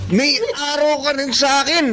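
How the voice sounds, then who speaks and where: An actor playing a brash, lecherous young student bully with a Filipino accent, taunting and threatening in a radio drama. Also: male voice, extremely high pitch, level tone, moderate pace, over loud music. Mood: taunting, menacing.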